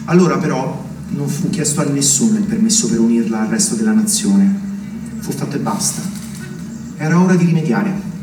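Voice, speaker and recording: A man acting as an earnest separatist politician gives measured, rhetorical answers in a stage play. His mid-pitched voice sits away from the mic in a roomy, noisy space. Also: close to an everyday voice. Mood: proud. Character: earnest male small-town separatist politician being interviewed. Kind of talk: naturalistic stage drama acting, political interview. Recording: roomy, distant, clearly noisy stage recording.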